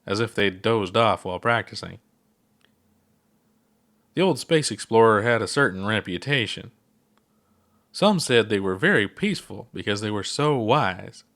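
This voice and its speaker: A man narrates his own story aloud in a steady, unhurried way. His voice sits low and settled, with an even, storytelling calm throughout. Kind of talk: fan story read aloud.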